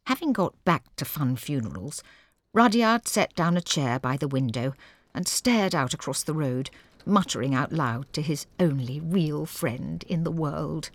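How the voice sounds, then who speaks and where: Deadpan female narrator, low register, measured comic storytelling, dry studio sound. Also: no echo, heavily acted.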